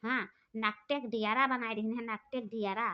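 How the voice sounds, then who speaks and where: A woman's voice: fairly high pitch, fairly even intonation, moderate pace, lightly acted. A woman voicing a bossy, quarrelsome elder sister-in-law, with an Awadhi accent, scolding and taunting. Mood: chatty. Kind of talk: cartoon voice acting. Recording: dull lo-fi recording.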